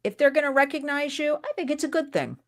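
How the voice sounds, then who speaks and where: A woman, hosting an interview show and chatting with a guest in an animated, gushing way, often laughing. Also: high-pitched, quick pace. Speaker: podcast host. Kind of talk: enthusiastic interview chat.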